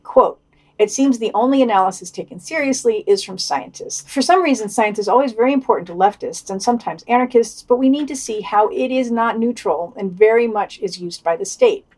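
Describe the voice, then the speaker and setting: A woman's voice: a little high, fairly quick, darkish tone. A woman co-hosting a podcast, reading scripted news commentary and quotations with occasional wry asides. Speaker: podcast co-host.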